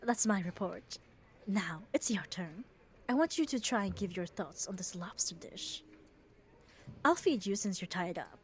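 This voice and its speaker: A woman playing a muscular, devoted, gently dominant yandere girlfriend in an ASMR roleplay, speaking tenderly and soothingly and often dropping to a whisper. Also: medium pitch, lively intonation, measured pace, natural voice. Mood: playful, doting. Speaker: ASMR voice performer. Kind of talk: ASMR roleplay, soft intimate acting.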